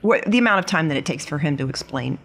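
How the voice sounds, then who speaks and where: A woman's voice: low voice, lively intonation, fast, creaky, a bit bright. A woman chatting casually as a player in a tabletop game.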